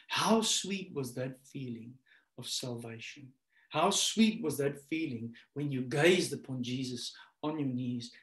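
A man in his thirties, a pastor giving a sermon over a webcam from a small room, climbing to loud emphatic peaks and dropping to a soft prayer. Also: mid-range voice. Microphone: webcam on a video call. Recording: echoey room.

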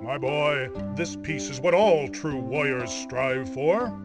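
A man's voice: medium pitch, slow pace, over music. A man playing a grave, pompous older king in a cartoon video game, speaking in a declamatory way. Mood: pompous, fatherly.

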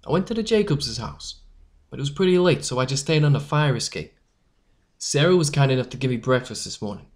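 A scrappy young newsboy leader from a storybook, acted out by a woman narrator. The delivery is slangy and emphatic, swinging widely in pitch. The character comes across as fiery and protective. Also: expressive, a little fast, a little mellow. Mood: reassuring.

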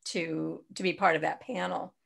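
Low, gravelly older woman hosting. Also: steady tone, moderate pace, a bit bright. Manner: thoughtful, hesitant, many ums. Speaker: host. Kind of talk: video call interview. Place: home room with bookshelves. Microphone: video call webcam.

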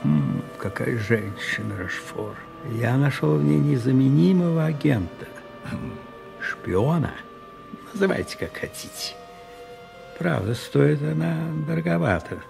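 An actor playing a cold, calculating cardinal and spymaster in a radio play, deliberate and commanding, with savouring pauses. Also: male voice, mid-range voice, expressive, vocal fry, over music. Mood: sly, relishing.

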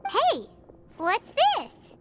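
A woman voicing a tiny, childlike, excitable fairy companion with a squeaky voice in a video game. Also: extremely slow, full character voice. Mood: excited, curious. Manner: speaks of herself in third person. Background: background music.

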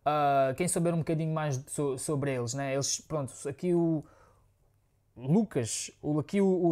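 A man's voice: a little high, darkish tone. A man in his thirties from Angola, raised in Portugal, with a European Portuguese accent, chatting to viewers in a rambling way as a music reaction host. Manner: chatty, rambling, fond of "né, pessoal". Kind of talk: casual chat to viewers. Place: living room, on a sofa, indoors.

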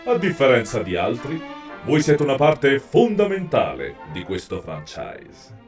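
Man as genial, expressive animatronic bear host. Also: mid-range voice, measured pace. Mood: ceremonious, inviting. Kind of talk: scripted letter reading in fan dub. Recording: slightly distant mic, music behind.

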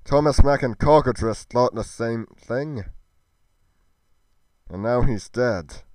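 A man's voice: medium pitch, slow, a little mellow, no room sound, close to an everyday voice. A man playing a proud, defiant hero warrior in a fantasy game roleplay, with formal, declamatory delivery. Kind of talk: theatrical fantasy roleplay acting.

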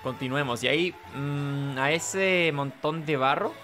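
A man's voice: a little high, unhurried, sharp tone. Chilean man muttering game commentary over music. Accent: Chilean Spanish. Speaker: gaming video host. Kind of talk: casual playthrough commentary. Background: video game music behind the voice.

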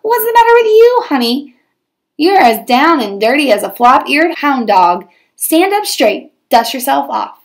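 A woman voicing a feisty little old Western fairy godmother in a storybook, brisk and bossy with folksy cowboy slang. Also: sits high, very animated, pitched above the performer's own voice, exaggerated voice. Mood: brash, sassy.

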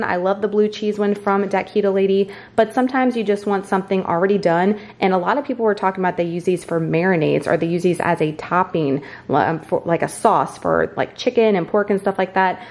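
A woman's voice: lowish voice, darkish tone. A woman chatting casually and informally as a vlogger, with emphatic repetition. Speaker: vlogger showing a grocery haul. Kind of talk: casual vlog chat. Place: home kitchen, indoors.

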